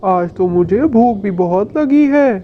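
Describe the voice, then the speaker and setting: A woman's voice: mid-range voice, expressive, measured pace, slight background noise, close to an everyday voice. A woman voicing a hungry, bullying bird of prey in a children's cartoon, taunting and theatrical, with a muffled narrow sound. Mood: hungry, gloating. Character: hungry bullying predatory kite bird, boastful, gullible. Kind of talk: Hindi children's cartoon villain acting.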